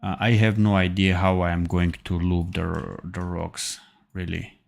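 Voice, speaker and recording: Low gravelly man from Armenia, slow halting livestream tutorial, keyboard clicking. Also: level tone. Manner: thinking aloud, halting with fillers and self-corrections. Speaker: animation tutor. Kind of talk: live-streamed software tutorial.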